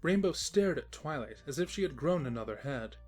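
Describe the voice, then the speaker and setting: A woman with a low voice narrates a comic story. Her intonation is lively and wry, and music plays behind her. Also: fairly quick. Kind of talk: scripted comedic storybook narration.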